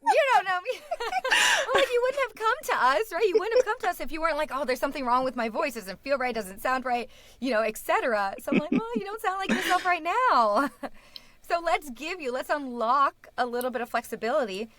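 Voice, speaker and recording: A woman's voice: sits high, very animated, a little fast, a bit bright. A woman speaking as a podcast guest and speech-language pathologist, chatting enthusiastically, laughing often and restarting her sentences. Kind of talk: casual interview chat.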